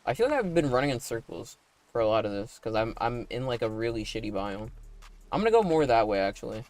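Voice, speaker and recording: A man chatting casually on a livestream while playing a game, with a slightly raspy, deepened broadcast voice and a rambling manner full of likes and tag questions. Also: big pitch swings, fast, darkish tone. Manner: talking in a put-on broadcast voice. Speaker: animator and streamer.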